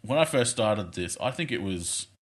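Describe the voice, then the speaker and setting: A man with a thick Australian accent, hosting a podcast in a relaxed, chatty way. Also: fairly low pitch, moderate pace. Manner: laid-back, chatty, swears casually. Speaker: podcast host. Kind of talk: casual podcast interview.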